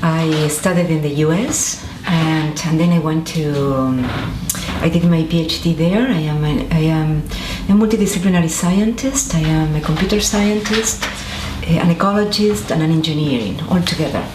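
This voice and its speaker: Brief: Argentine-born woman, lowish voice, halting interview answers, echoey room with faint noise. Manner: thoughtful, many restarts and fillers. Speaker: interviewee, scientist.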